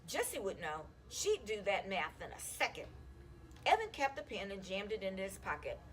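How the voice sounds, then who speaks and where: A woman reads a storybook aloud to children with a very lively, swooping delivery. Her voice sits at an average pitch, and faint noise runs behind the recording. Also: normal speed. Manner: animated, acting out the children's dialogue. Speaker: school counselor reading a children's book aloud. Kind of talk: expressive storybook read-aloud.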